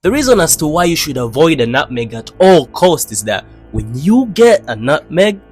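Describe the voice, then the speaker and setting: A man's voice: on the high side, big pitch swings, normal speed, a little mellow. A young man from Tanzania, narrating casually in a slangy, joking, conversational way. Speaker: YouTube storyteller. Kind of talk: casual scripted narration for animated video. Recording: background music under the voice. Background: music.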